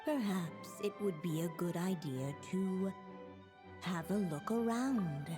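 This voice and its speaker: A woman playing a wry, grandiose storybook narrator in a game, with measured, arch delivery. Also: lowish voice, dark tone. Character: wry, theatrical female storybook narrator addressing wandering guests. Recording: reverberant, faint music behind.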